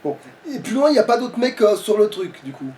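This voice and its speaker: A man's voice: sits high, sing-song, brisk, darkish tone. A man playing a video game and chatting casually, swearing and talking back to the game. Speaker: let's play player. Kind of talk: casual gaming chat. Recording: distant from mic, background noise.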